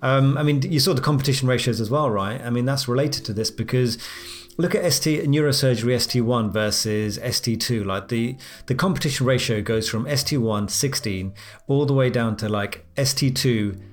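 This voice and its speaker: A man's voice: mid-range voice, moderate pace. Chatty man hosting a podcast. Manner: chatty, rambling with many "I mean"s. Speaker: podcast host, radiologist. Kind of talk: casual podcast chat.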